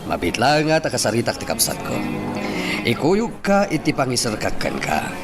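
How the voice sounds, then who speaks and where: A man playing a polite, easygoing fellow with a gravelly voice in a radio drama. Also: medium pitch, wildly swooping pitch, moderate pace. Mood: courteous. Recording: music under the voice.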